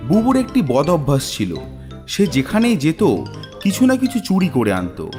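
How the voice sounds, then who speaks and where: A man's voice: on the high side, wildly swooping pitch, normal speed, dark tone. A man narrating a scripted cartoon horror tale as a dramatic storyteller. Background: background music.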